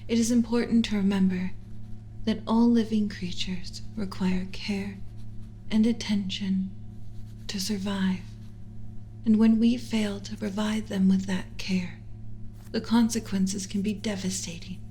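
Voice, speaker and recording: A woman voicing a doting alien scientist mother in a soft, breathy ASMR whisper, speaking with slow, soothing, formal tenderness. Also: medium pitch, steady tone, a little hiss or hum, close to an everyday voice, rough recording. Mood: earnest, compassionate. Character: doting female alien scientist mother, gently possessive of human pet.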